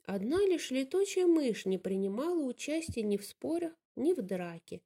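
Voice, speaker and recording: A woman with a slightly husky voice, telling a fairy tale aloud as an expressive storyteller. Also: high voice, normal speed.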